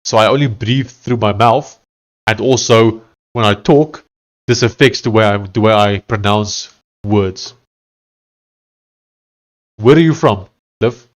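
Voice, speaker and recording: A man's voice: on the low side, takes it slowly, pauses often. A man from South Africa with a thick Afrikaans accent, casually chatting with live stream viewers while he codes, thinking aloud with many fillers and restarts. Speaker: live-streaming software developer.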